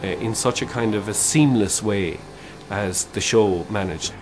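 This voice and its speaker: Thoughtful low-voiced man. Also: measured pace, slight background noise. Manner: thoughtful, hesitant with fillers. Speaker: interviewee. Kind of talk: documentary interview.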